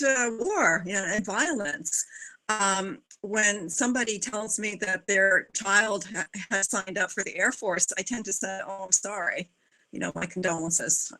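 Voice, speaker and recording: A woman's voice: medium pitch, pushed and bright, rough recording. A woman political candidate speaking earnestly on a video call, with many fillers and restarts. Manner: earnest, conversational, with frequent fillers. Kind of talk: live roundtable discussion.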